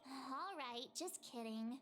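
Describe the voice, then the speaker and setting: A woman voicing a perky, playful young girl companion in a video game, with a teasing, lively manner. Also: high voice, slow, full character voice.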